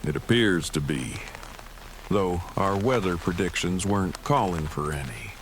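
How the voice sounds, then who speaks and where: A low-pitched male survivor, played by an actor in an audio drama. He talks in stiff, precise, wordy sentences with a dry, earnest formality. Faint rain sounds sit behind his voice. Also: expressive, unhurried, slight background noise, poor audio. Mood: deadpan. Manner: stiff, precise, long-winded wording, dry. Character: formal, verbose, earnest man surviving an apocalypse. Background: rain ambience.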